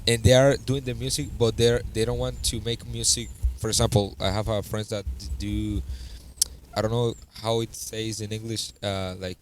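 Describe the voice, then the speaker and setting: Halting Spanish-accented man, low voice. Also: little pitch movement, a little hiss or hum. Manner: searching for English words, halting, broken grammar. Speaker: podcast host. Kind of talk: casual interview in a second language.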